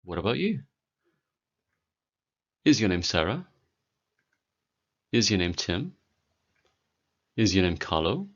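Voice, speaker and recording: British man, forties, beginner English lesson, very slow and deliberate, slightly husky. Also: sits low, very animated, lo-fi sound. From Britain. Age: 40s. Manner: clear, deliberate delivery for learners. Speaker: English teacher.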